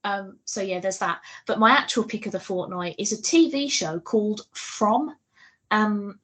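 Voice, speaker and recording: A woman's voice: medium pitch, unhurried, fairly bright tone. A woman chatting casually as a podcast co-host, giggly and talkative, with a loose, sweary way of talking.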